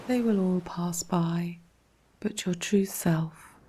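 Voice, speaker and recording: Slow, soft woman guiding relaxation. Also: fairly low pitch, slight background noise. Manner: calm, measured, instructional. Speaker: guided meditation narrator. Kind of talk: scripted guided relaxation narration.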